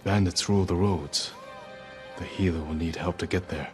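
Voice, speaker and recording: A man acting a stoic young samurai lord in a video game cutscene, speaking in a measured, grave, terse way. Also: deep voice, faint music behind, natural voice. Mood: grave, steady.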